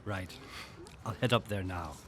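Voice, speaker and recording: An actor playing a solemn, earnest middle-aged headmaster in an audio drama, with a Northern Irish accent and a measured, oratorical manner. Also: male voice, deep voice, a bit bright, a little hiss or hum. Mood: polite. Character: solemn, rousing male headmaster of a post-apocalyptic school.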